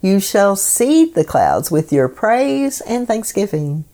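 A woman hosting a devotional program, reading scripted meditations in a solemn, measured way. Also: mid-range voice, very animated, mellow.

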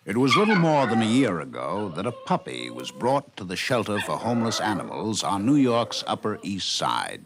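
A man's voice: fairly low pitch, wildly swooping pitch, normal speed, few pauses. A man narrating a scripted radio documentary in 1950s style. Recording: noisy vintage 1950s radio recording.

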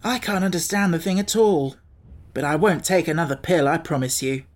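A woman voicing a plucky, excitable young boy in a storybook, easily irritated and quick to exclaim. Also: low-pitched. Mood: sulky, indignant.

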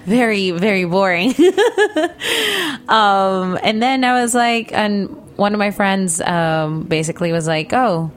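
A woman in her thirties from the Philippines, a chef and interview guest who laughs often and talks in an animated way. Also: medium pitch, measured pace. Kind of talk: casual interview.

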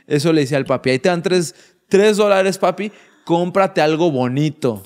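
A man in his thirties from Mexico, co-hosting a podcast with a light, slightly raised voice. He tells stories in a playful, teasing way and throws in quick asides. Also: on the high side, a little mellow, smooth. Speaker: podcast co-host. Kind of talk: casual storytelling chat.

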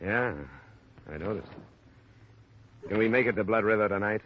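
Low-voiced hard-boiled radio investigator played by a man. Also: a little hiss or hum, close to an everyday voice. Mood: terse, dry. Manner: measured, terse, deadpan. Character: composed, hard-boiled male insurance investigator. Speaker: actor playing the lead and narrator. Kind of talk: 1950s radio detective drama, first-person narration. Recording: muffled old radio broadcast, music behind.

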